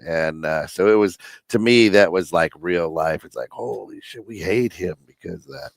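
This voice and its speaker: A middle-aged man on a wrestling podcast, reminiscing in a halting way with many ums and uhs. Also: sits low, expressive, gravelly, dry recording, clean studio sound. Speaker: podcast co-host. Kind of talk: casual wrestling chat.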